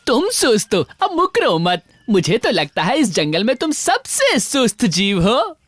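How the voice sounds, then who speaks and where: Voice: mid-range voice, wildly swooping pitch, unhurried, no room sound. An actor voicing a sly, scheming male animal villain in a cartoon, mocking and boastful in a theatrical way. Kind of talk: Hindi cartoon dub acting.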